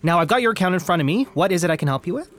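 Lively, placating male service rep. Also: sits high, rapid-fire. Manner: polite, placating, rehearsed sincerity. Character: smooth, placating young male phone company representative. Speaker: customer service representative. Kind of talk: audio drama acting.

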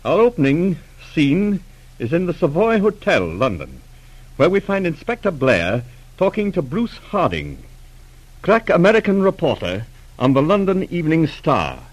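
A man announcing in formal, deliberate, dramatic 1940s radio narration style. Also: fairly high pitch, huge pitch swings. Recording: noisy old radio recording.

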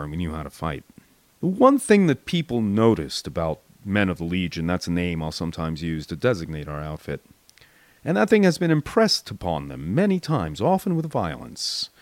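An American man narrating a first-person memoir as an expressive audiobook reader. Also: sits low, no echo. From United States.

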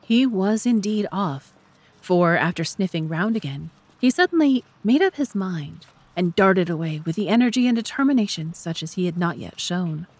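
A woman's voice: lowish voice, lively intonation, moderate pace, a little mellow. A woman reading scripted narration as narrator and host of a story podcast.

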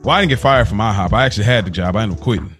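Quick-talking, low-voiced American man. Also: faint music behind. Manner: slangy, animated retelling with acted-out quotes. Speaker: podcast co-host. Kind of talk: casual chat, telling stories.

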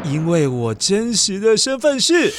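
Man acting playful high-voiced Taiwanese storyteller uncle. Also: huge pitch swings, measured pace, over loud music, exaggerated voice. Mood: breezy, confessing. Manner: playful, theatrical. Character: cheerful theatrical story-bus uncle, secretly a space captain. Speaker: children's story show host. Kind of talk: children's radio play acting.

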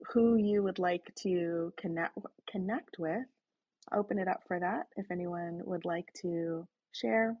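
A woman hosting an online session, guiding a slow breathing and reflection practice in a soft, inviting manner. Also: medium pitch, slightly rough, dull audio. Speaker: host leading a guided breathing session. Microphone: online call.

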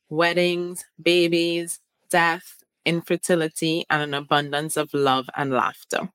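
A woman hosting a podcast who introduces her guest and asks friendly questions with eager excitement. Her voice is bright and forward. The sound is close and dry. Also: low voice, dry recording. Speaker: podcast host. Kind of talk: podcast interview.

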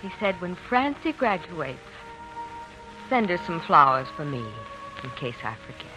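A woman playing a gentle, consoling schoolteacher in a 1940s radio drama. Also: on the low side, sing-song, a little slow, rough recording. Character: gentle, consoling female schoolteacher comforting a grieving girl. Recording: old radio broadcast, music clearly behind.

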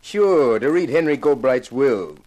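A man's voice: medium pitch, animated, a little slow, mellow. An actor playing a brusque, offhand man in a 1950s radio drama, speaking casually and bluntly. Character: brusque, offhand male house guest.

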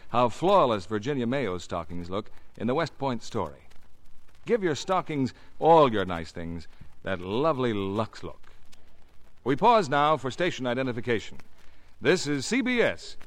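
Animated American radio pitchman. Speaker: radio commercial announcer. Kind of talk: scripted 1950s advertising pitch. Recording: vintage 1950 radio recording.

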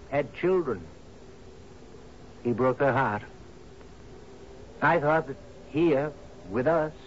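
A man's voice: mid-range voice, darkish tone. An actor in a radio drama playing an anguished, deferential friend confessing to his sea captain. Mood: sorrowful, grave. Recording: dull, noisy old radio broadcast.

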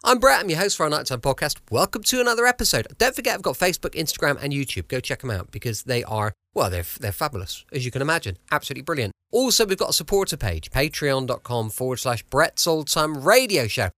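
Lively, higher-voiced English male host. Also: quick pace, hardly any pauses, no echo, studio quality. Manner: informal, enthusiastic. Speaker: podcast host. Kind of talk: chatty show introduction.

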